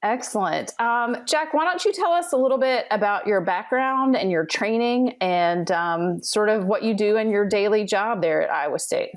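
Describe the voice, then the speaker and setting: A woman's voice: mid-range voice, expressive, moderate pace, hardly any pauses. A woman hosting a podcast, introducing her guest and asking friendly questions. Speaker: podcast host.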